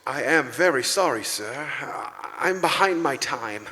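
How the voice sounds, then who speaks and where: A man's voice: mid-range voice, animated, unhurried, sharp tone. A man playing a meek, nervous clerk in a live radio play, stammering and deferential. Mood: anxious, apologetic. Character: meek, nervous, apologetic male office clerk. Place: large church, live performance. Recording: distant, echoey church hall.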